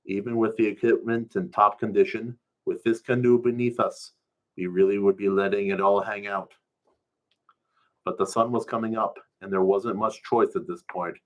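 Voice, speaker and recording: Male storyteller, lowish voice, colloquial first-person narrative read live. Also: steady tone, moderate pace. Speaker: storyteller reading a story aloud.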